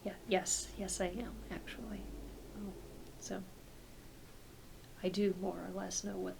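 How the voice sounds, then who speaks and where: A woman playing a kind, reassuring doctor in a soft-spoken ASMR roleplay, talking in a gentle, hesitant, conversational way. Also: medium pitch, level tone, measured pace, creaky, background noise. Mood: calm, reassuring. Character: kind, reassuring doctor who treats werewolves.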